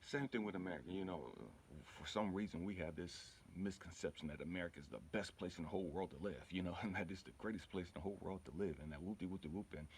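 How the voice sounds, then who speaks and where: A man's voice: deep voice, a little hiss or hum. A man giving an informal motivational spiritual talk in an emphatic, repetitive, colloquial way, often checking in with the listener. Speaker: spiritual healer and channel host.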